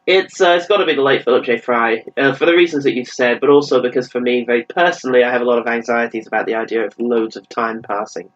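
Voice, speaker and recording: A man's voice: medium pitch, fast talker, few pauses, fairly bright tone. A man speaking as a podcast guest from home, in casual, reflective discussion. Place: at the family home. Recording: dull, lo-fi remote audio fault.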